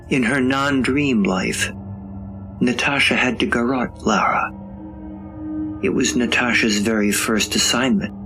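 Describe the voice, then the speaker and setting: A man's voice: lowish voice, creaky, a bit bright. A man delivering scripted dramatic narration for an audio drama in a wry, suspenseful manner. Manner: wry, suspenseful, drawn-out phrasing. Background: music.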